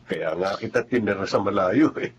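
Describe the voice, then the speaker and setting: A man's voice: on the low side, unhurried, natural voice. An actor playing a married man who keeps a mistress, speaking in a coaxing, sly way in a radio drama. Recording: slightly dull, lo-fi sound.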